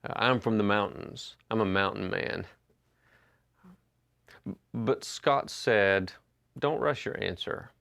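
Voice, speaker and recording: A man in his fifties preaches in an easy, conversational way, full of small pauses and restarts. His voice sits low and carries a gravelly fry. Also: a bit bright. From Western North Carolina. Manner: conversational storytelling with frequent uh and restarts. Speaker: pastor. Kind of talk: sermon. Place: church sanctuary.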